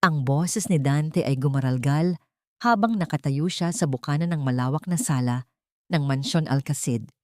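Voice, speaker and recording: A woman's voice: sits low, moderate pace, a little mellow, no echo, clean studio sound. A woman with a Filipino accent narrating a dramatic audiobook story from a script.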